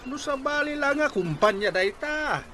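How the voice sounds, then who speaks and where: A man's voice: extremely high pitch, animated, normal speed, sharp tone, slight background noise, exaggerated voice. A man playing a scolding elderly father lecturing his grown son in an Ilocano radio comedy drama. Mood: stern, scolding.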